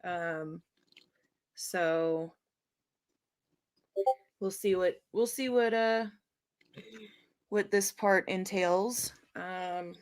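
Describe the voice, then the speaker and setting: A woman's voice: lowish voice, extremely slow, long pauses, clean tone. A woman hosting a livestream in casual, conversational chat. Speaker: stream host taking a call. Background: music behind the voice.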